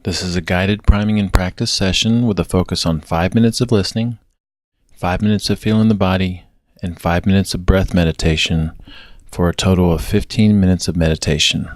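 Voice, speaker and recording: A man narrating a guided meditation with measured, gentle instructions. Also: low-pitched, no echo, clean studio sound.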